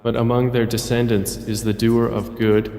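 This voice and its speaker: A man narrating a scripture translation in a solemn, measured, formal way. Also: medium pitch, little pitch movement, creaky, dark tone. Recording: echoey, long hall reverb.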